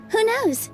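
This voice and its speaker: An actress playing a kind, hopeful young theater dancer in a video game, gentle and encouraging. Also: female voice. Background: music.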